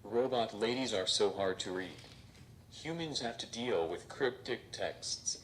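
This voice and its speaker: A man voicing a robot stand-up comedian who delivers deadpan jokes on stage. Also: lowish voice, a little slow, a little hiss or hum, rough recording. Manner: deadpan, flat punchlines. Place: stage before a live audience.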